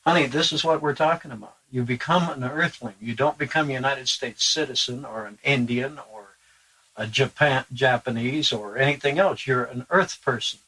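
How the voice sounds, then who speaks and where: Man chatting casually, bright husky voice, plain-spoken, mid pitch. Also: moderate pace, poor audio. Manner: plain-spoken, with fillers and asides. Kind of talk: casual chat.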